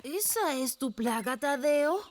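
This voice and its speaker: A woman's voice: high-pitched, lively intonation, slow, heavy background noise. A woman voicing an eager, dutiful young boy in a dubbed cartoon, earnest and determined. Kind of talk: cartoon dub acting. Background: music.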